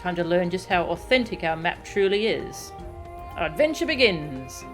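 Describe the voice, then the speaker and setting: A woman giving casual, expressive live commentary as she streams a game, reacting with playful indignation. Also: on the low side, normal speed. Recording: game music behind the voice. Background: game music and character voice lines.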